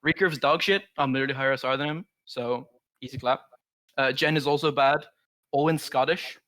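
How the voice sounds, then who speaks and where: Fast, cocky young man trash-talking. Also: mid-range voice, a bit bright, dry recording. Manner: cocky, rattling off insults. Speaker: team captain. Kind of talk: trash talk.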